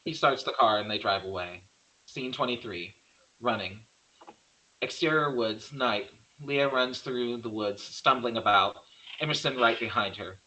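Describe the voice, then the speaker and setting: A man's voice: mid-range voice, measured pace, sharp tone, low-quality recording. A man narrating stage directions in an amateur screenplay table read, sometimes stumbling and correcting himself.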